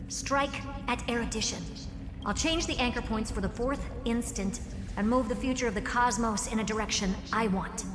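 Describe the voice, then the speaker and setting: A woman's voice: on the high side, moderate pace, natural voice. A woman voicing a proud, blunt young genius witch in a video game, assertive and self-assured. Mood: defiant. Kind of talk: dramatic video game voice acting. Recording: echoey hall reverb, music behind.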